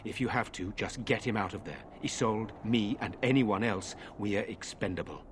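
A resolute, dutiful lord, acted by a man in a fantasy video game. His voice is on the low side. He speaks formally and earnestly, in measured phrases. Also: slight background noise, close to an everyday voice. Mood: grave, urgent. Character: resolute, dutiful nobleman, self-sacrificing brother. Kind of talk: dramatic video game dialogue.

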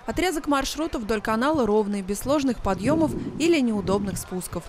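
A woman reading a scripted local news voice-over in an even, clear way. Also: a little high, a little fast, noisy background. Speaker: news reporter.

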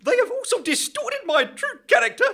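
Shrill, squeaky, indignant falsetto. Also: male voice, sing-song, moderate pace, bright, forceful tone, heavily acted. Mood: shrill indignation. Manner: shrill, theatrical. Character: squeaky, indignant caricature reading a journalist's notes. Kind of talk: live comedy sketch.

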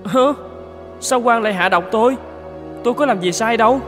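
An actor playing a good-hearted young man in an animated fairy tale, speaking earnestly and expressively over music. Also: extremely high pitch, moderate pace. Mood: bewildered. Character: good-hearted poor young man who becomes king. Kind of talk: cartoon fairy-tale voice acting.

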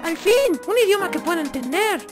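A Latin American woman voicing an odd, self-pleased brick creature in a halting, theatrical way for an animated fan dub. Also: extremely high pitch, over loud music. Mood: grave, amused.

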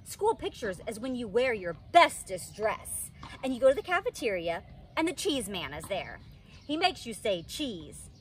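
Young woman reading a kids story outside, really animated, bit of background noise. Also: mid-range voice. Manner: animated, acts out the characters. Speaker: teacher reading a children's book aloud. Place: outdoors under a tree, in a wicker pod seat.

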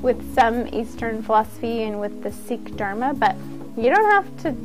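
A woman's voice: on the high side, sing-song, moderate pace, music in the background. A woman giving an informal instructional talk as a yoga teacher, conversational and earnest.

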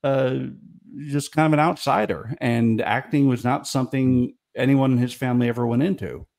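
A man's voice: medium pitch, fairly even intonation, moderate pace, gravelly, a little mellow. A middle-aged man on a video call, telling stories in casual chat and laughing often. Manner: storytelling, laughs often, restarts. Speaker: guest, comedy writer. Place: small room at home. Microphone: studio mic on video call.

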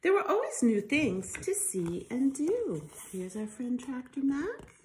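A middle-aged woman reading a children's storybook aloud in an expressive, emphatic storytelling style. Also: sits high, measured pace, slight background noise, low-quality recording. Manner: animated, emphatic delivery with sound effects. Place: living room by a fireplace, indoors.

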